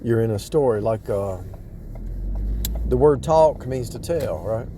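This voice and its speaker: A man's voice: medium pitch, animated, measured pace, noisy background. A man giving casual, off-the-cuff spiritual teaching in a rambling way, repeating and rephrasing himself for emphasis. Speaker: religious vlogger.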